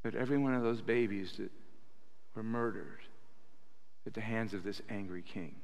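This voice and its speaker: A man in his sixties preaching a sermon at a pulpit, animated, with bursts of loud acted quotes, speaking into a stand mic in a church sanctuary. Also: fairly low pitch, moderate pace, creaky. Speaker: pastor. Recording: reverberant church hall.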